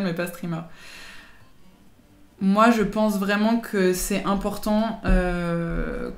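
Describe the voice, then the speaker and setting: A woman's voice: fairly low pitch, roomy sound. A young adult woman chatting casually with her livestream viewers in informal, slangy, teasing speech. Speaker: live streamer chatting with viewers.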